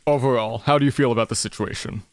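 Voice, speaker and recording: A smooth, cocky businessman, read by a man in a livedub talks breezily. The pitch is mid-range and the mic is dry and close. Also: steady tone, quick pace, no room sound, natural voice. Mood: breezy. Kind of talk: fan livedub of a visual novel.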